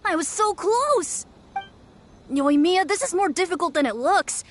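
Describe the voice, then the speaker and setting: A woman's voice: very high-pitched, animated, unhurried. A woman voicing a sweet, earnest little girl in a video game, with an innocent, childlike manner. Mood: disappointed. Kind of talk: video game character acting.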